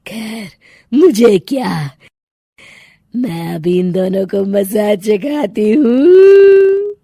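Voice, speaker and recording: A woman voicing a dangerous, taunting witch in a cartoon story, with a drawn-out delivery. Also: medium pitch, animated, vocal fry, warm tone, dry recording, studio quality, faint music behind, exaggerated voice. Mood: mocking. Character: dangerous menacing witch with big teeth, out hunting.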